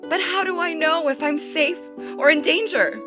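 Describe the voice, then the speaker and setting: A woman's voice: very high-pitched, normal speed, voice pushed up. A woman voicing a small, scared female creature in a children's story, timid and jumpy. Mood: anxious, doubtful. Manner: shrieking, fearful, questioning. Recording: music behind the voice.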